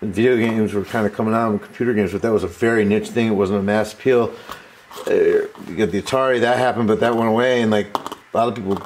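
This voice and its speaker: A man's voice: lowish voice, quick pace. Rambling man, noisy recording. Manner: rambling, self-interrupting. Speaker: host of a repair tutorial. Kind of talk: casual hands-on tutorial talk. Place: indoor workbench. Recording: noticeable steady background noise.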